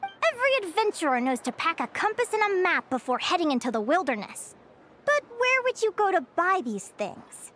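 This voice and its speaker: High-voiced perky young advisor. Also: female voice, sing-song, normal speed, fairly bright tone, heavily acted. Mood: upbeat, instructive. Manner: perky, instructive, quizzing listeners. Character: Linnea, cheerful young female adventuring advisor teaching a crowd. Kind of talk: video game voice acting.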